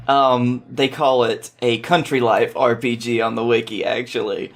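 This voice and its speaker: A man's voice: mid-range voice, fairly even intonation, moderate pace. A man talking as a podcast guest, casually explaining things with a wry, sometimes sweary manner.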